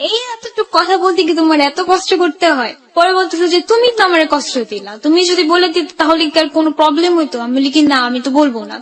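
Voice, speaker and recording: A woman with a Bangladeshi accent telling her own story conversationally, re-enacting remembered exchanges as dialogue. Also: high voice.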